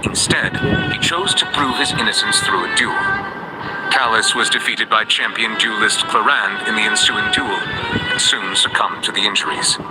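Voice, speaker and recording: An actor playing a stern, formal chief judge with a measured, solemn delivery in a video game. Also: male voice, lowish voice, wildly swooping pitch, loud music behind the voice, poor audio. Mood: solemn recounting. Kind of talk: scripted video game dialogue.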